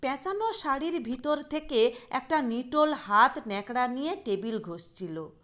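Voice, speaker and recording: A woman narrating a literary short story in a deliberate, expressive storytelling manner. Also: high-pitched.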